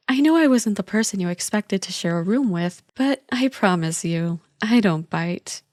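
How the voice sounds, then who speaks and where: A woman acts out a young woman, the teasing older sister of a friend, in an ASMR roleplay. Her voice sits at a medium pitch and she talks in a casual, playful, reassuring way. The sound is dry and close, with no room echo. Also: a little mellow.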